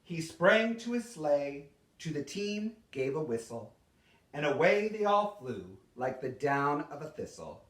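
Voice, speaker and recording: Man, expressive verse recital, swooping intonation, deliberate pauses, echoey room. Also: a little high. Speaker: storyteller reading a Christmas poem. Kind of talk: theatrical poetry recitation. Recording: roomy echo, distant microphone.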